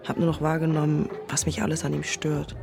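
Even-voiced, confiding woman narrator. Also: low voice, a little fast, vocal fry. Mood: conflicted. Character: reflective young woman narrating her conflicted inner thoughts. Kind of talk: first-person scripted drama narration.

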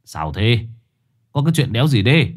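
A man's voice: mid-range voice, sing-song, moderate pace. Man acting a groggy, stammering young husband. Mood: irritated. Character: groggy, defensive young husband, coarse-spoken.

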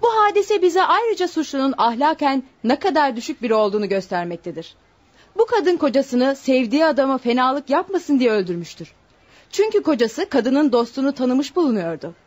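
An actress playing a severe prosecutor, formal, scornful and declamatory, in a radio courtroom drama. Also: female voice, sits high, sing-song, brisk. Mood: scornful accusation. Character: severe, accusing female prosecutor. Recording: dull lo-fi radio drama recording.

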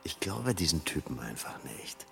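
A man's voice: a bass voice, sing-song, normal speed, vocal fry, sharp tone, faint music behind, full character voice. A male actor playing a dry, resigned space traveller who mutters wry asides in a comic science-fiction radio drama. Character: dry, resigned male companion under siege.

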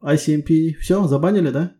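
A man's voice: a little high, fairly quick, dark tone. A man working as a technical interviewer, giving casual feedback in a reassuring, encouraging way.

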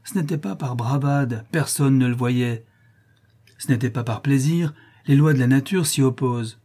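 A man's voice: mid-range voice, normal speed, mellow, breathy edge. A man voicing a pompous, easily scandalized old porter in a storybook, with grandiloquent, formal exclamations. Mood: brooding, baffled.

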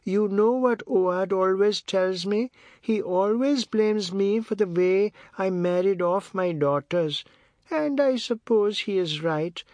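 Slow, plaintive old matriarch. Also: male voice, sits high, darkish tone, no echo, pitched above the performer's own voice. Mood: plaintive, resigned. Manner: drawn-out, plaintive delivery. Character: slow, groaning, sighing old matriarch, meek and self-satisfied.

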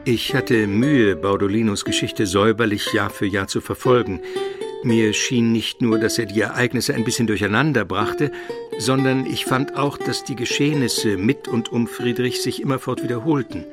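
An actor playing a learned Byzantine chronicler, narrating a radio drama in a measured, precise literary manner. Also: male voice, medium pitch, fairly even intonation, over music, close to an everyday voice. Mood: bemused, weary.

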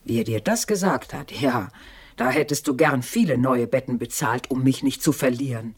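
An actress playing a dead wife's ghost in a radio play, dry, sardonic and reproachful, with a husky voice. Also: female voice, a bass voice, lively intonation, normal speed, exaggerated voice. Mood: bitter.

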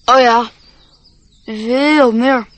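A woman's voice: fairly high pitch, steady tone, drawn-out words, a little hiss or hum. An actress playing a lonely, dreamy, earnest young boy in a radio play.

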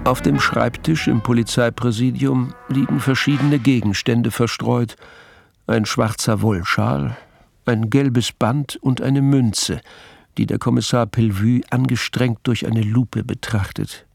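A man narrating a mystery radio play in a measured, composed, literary storytelling manner. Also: mid-range voice, fairly even intonation, vocal fry, no echo.

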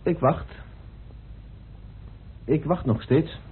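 An actor playing a methodical, insistent amateur detective in a radio play, speaking deliberately with theatrical pauses as he accuses. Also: fairly high pitch, a little hiss or hum. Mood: challenging, insistent. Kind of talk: radio play acting in Dutch. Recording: muffled old radio drama recording.

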